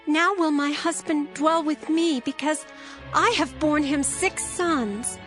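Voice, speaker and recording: A woman's voice: sits high, takes it slowly. A woman voicing Leah, a rivalrous wife longing for her husband's love, in a dramatized scripture reading. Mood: earnest. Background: soft background music.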